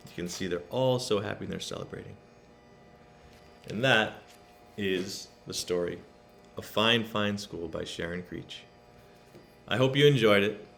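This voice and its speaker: A man reading a picture book aloud to children in an expressive, emphatic way, adding explanations for the listeners. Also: fairly low pitch, a little slow, gravelly, long pauses, slight background noise. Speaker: read-aloud storyteller.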